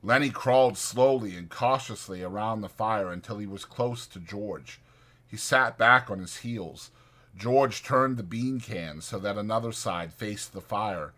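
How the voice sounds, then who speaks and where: Male narrator, scripted fiction reading, deliberate storytelling, bright focused tone, dramatized delivery. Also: on the low side. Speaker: audiobook narrator.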